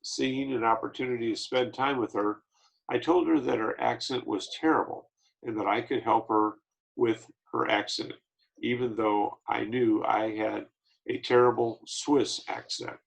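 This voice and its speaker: A man reading a memoir aloud, slow and measured with small slips. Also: on the low side, gravelly, husky, poor audio. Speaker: reader of an autobiography.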